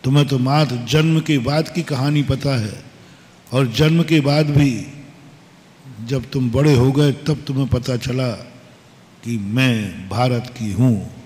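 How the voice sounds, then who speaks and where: A man preaching a sermon as a monk, slow and emphatic, posing rhetorical questions and repeating short maxims. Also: mid-range voice, a little mellow. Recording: long hall reverb.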